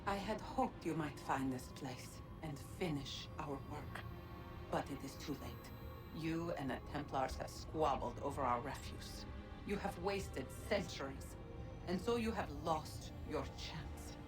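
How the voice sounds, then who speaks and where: A woman playing an ancient godlike being in a video game, speaking in a solemn, formal, measured and regretful way. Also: deep voice, lively intonation, over music, poor audio. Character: ancient female godlike precursor, grave and regretful. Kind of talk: dramatic video game cutscene acting.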